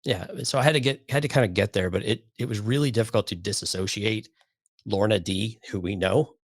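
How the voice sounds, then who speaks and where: Male co-host, lower-pitched, dry close sound, conversational and opinionated with a wry, incredulous edge. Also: fairly quick, slightly rough, dry recording. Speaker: podcast co-host. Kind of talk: casual opinionated chat.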